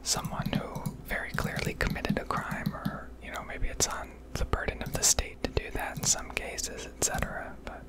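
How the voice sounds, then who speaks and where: A woman's voice: moderate pace, hardly any pauses. An American woman whispering a casual, rambling ASMR commentary over gameplay, swearing freely. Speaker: video creator narrating gameplay.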